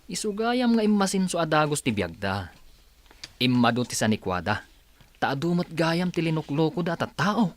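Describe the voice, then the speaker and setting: An actor playing a concerned, gossipy villager in an Ilocano radio drama, talking in an animated, conversational way. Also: male voice, a little high, moderate pace.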